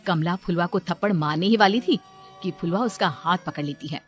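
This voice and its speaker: A woman's voice: lowish voice, brisk, faint music behind. Woman narrating a cartoon story.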